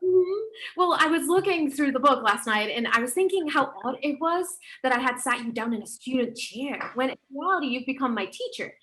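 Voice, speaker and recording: High, bright, eager woman author played by an actress. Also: a little fast, rough recording. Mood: excited, musing. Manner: hesitant, excitable, searching for words. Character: eager, flustered young woman author, thoughtful student. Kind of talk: stage play table read.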